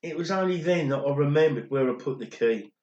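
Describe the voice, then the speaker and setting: A man's voice: on the high side, fairly quick, darkish tone, dull audio, close to an everyday voice. A man playing an older, regretful former pop drummer who confesses an old secret in an amateur radio play. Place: recorded alone at home, indoors. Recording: roomy home recording.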